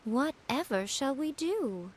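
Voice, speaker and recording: A woman playing a poised, teasing fox spirit shrine lady in an anime game, speaking with silky, condescending mock sympathy and quiet menace. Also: high-pitched, big pitch swings, slow, a little hiss or hum, exaggerated voice. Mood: mock sympathy, probing. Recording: music playing behind the voice.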